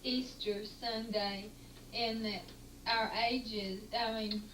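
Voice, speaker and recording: Chatty mom, age forty. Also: female voice, fairly high pitch, steady tone, slow, fairly bright tone. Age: 40s. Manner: chatty, prompting others to join in. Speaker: mother in a family chat. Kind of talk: casual family chat. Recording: roomy, distant, faint hiss, 1980s home tape.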